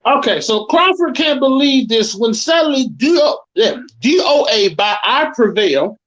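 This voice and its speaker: A man's voice: very high-pitched, lively intonation, normal speed, a bit bright, lo-fi sound. A man in his thirties, narrating wrestling action and chatting casually, swearing freely. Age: 30s. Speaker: show narrator and commentator. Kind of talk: casual chat and read-out match narration.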